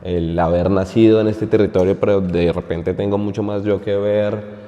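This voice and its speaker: A man's voice: lowish voice, steady tone, moderate pace, warm tone, big-room sound, dull audio. A man from Colombia, speaking on a panel in a thoughtful, hesitant way with many restarts. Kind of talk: public panel discussion. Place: community center hall.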